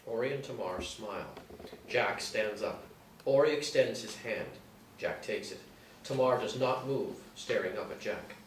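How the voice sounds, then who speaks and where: Echoey, lowish-pitched male narrator. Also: unhurried. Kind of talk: reading screenplay action lines. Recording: echoey room, distant mic, faint noise.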